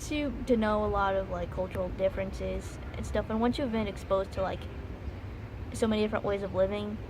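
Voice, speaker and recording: Casting: American woman, 20s, informal self-aware vlogger, loose rambling delivery, mid-pitched voice, noisy ambient recording. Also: steady tone, brisk. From United States. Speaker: study abroad student vlogger. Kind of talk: casual reflective chat to camera.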